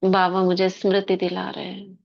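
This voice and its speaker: A woman guiding a spiritual meditation in slow, soft short phrases with long pauses. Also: mid-range voice, monotone, gravelly, dull audio. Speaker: meditation guide. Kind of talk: guided meditation commentary.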